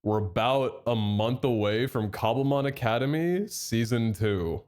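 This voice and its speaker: A man's voice: medium pitch, measured pace, clear, resonant tone. A young man streaming live, chatting with his chat and thanking subscribers, a little nasal from a head cold. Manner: chatty and excitable, reads out chat and thanks subs.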